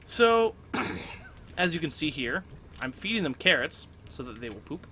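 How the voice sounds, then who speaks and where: A man's voice: a little high, big pitch swings, a little slow, bright, forceful tone, soft background music. Upbeat young man with a cold. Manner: chatty, upbeat, frequent throat clearing. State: getting over a cold, coughing. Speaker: let's play host. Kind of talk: casual gameplay commentary.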